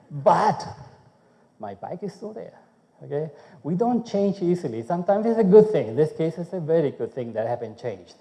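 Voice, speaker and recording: A man's voice: a little high, dark tone, big-room sound, dull audio. A man from Chile, a food researcher giving a conference talk, speaking conversationally and often checking in with "okay?". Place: conference hall.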